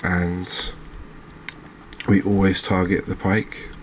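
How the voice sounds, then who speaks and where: A man with a low-pitched voice narrates his gameplay in a soft ASMR style. His delivery stays very even, musing along in an unhurried, relaxed way with pauses. Faint ambient noise runs behind him. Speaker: gaming video host. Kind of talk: soft-spoken ASMR game commentary.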